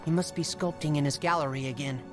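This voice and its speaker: An actor playing an earnest, idealistic young Spartan brother, loyal and expressive, in a video game drama. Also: male voice, a little high, quick pace, over music, lightly acted. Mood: kindly.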